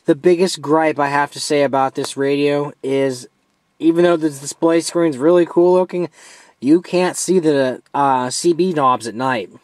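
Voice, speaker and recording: Casual man doing a halting gadget demo. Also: a little high, a little mellow, no room sound. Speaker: amateur product reviewer.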